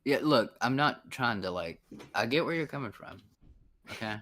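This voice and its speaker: A man's voice: mid-range voice, animated, moderate pace, bright, forceful tone, a little hiss or hum. A man in his thirties in a casual voice chat, rambling and frank, swearing often. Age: 30s.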